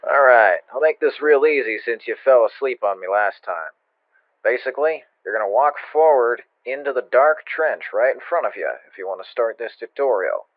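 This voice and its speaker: A man's voice: mid-range voice, expressive, normal speed, no echo, phone-quality sound. A man playing an impatient, sardonic military instructor who gives casual game tutorial directions over a radio. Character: impatient, wry male military training instructor. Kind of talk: video game tutorial narration. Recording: thin, dry radio-like band-limited sound.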